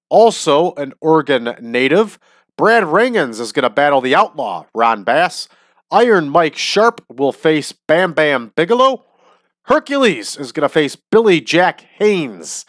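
A man's voice: a little high, a bit bright, no echo, studio quality. A man with a stuffy, nasal voice from a sinus infection, hosting a wrestling simulation series in upbeat casual commentary. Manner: upbeat, addresses viewers as everybody. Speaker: host of a wrestling sim series.

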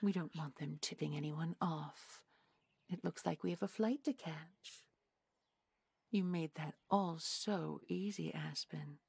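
A woman's voice: sits low, takes it slowly, darkish tone, heavily acted. A woman playing a menacing, mock-polite supernatural villain in an audio drama, speaking in a taunting, falsely sweet manner. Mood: smugly sweet. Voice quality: creaky, breathy. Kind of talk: audio roleplay acting.

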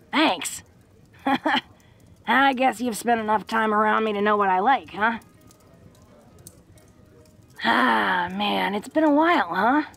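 A woman acts out a tough, smitten orc girl in a romantic audio roleplay. Her voice is fairly high with a gravelly fry, and she takes her time. She stumbles bashfully over her blunt, slangy words. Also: a bit bright, exaggerated voice. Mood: fond, bashful. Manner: bashful, stammering, informal tough-girl diction.

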